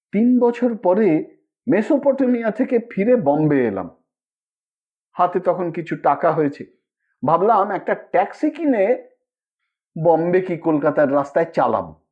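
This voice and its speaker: A man's voice: high-pitched, narrow, tinny audio. A man narrating a scripted literary short story in a dramatic storytelling manner.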